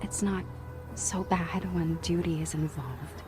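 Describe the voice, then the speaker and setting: Low-voiced, weary Spartan priestess. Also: female voice, slow pace. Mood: wistful, resigned. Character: weary, dutiful Spartan priestess and temple keeper. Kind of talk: cinematic video game acting. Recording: music behind the voice.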